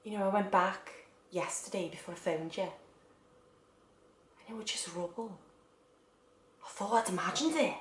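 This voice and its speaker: A woman's voice: medium pitch, unhurried, pauses often, a bit bright, slight background noise, close to an everyday voice. An actress playing a lonely, wistful woman confiding in an absent loved one, in a stage monologue broken into short phrases. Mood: hushed wonder. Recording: echoey room.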